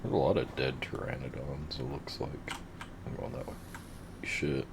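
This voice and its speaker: A man with a very deep voice giving laid-back, rambling, profane commentary over his game. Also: lively intonation, normal speed, gravelly, noisy background. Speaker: gamer narrating his play. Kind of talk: casual gameplay commentary.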